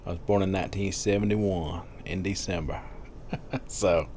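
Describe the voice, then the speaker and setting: A man in his fifties talks casually to his viewers, rambling along with plenty of fillers and soft chuckles. His voice sits a little low. A faint noise runs under the recording. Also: steady tone, moderate pace. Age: 50s. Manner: chatty, rambling, with frequent fillers and soft laughs. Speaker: vlogger. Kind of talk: casual diet vlog.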